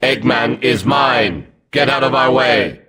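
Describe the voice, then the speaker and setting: An actor voicing a menacing, emotionless combat robot with clipped, mechanical delivery in a video game. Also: male voice, medium pitch, takes it slowly, sharp tone, slightly rough, full character voice. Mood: cold, threatening.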